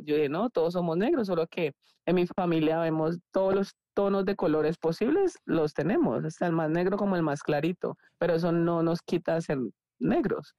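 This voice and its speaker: A woman's voice: lowish voice, normal speed, warm tone, no echo. A woman in her thirties with a Colombian accent, chatting candidly and easily as a podcast guest. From Cali, Colombia. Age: 30s. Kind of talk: casual conversational interview.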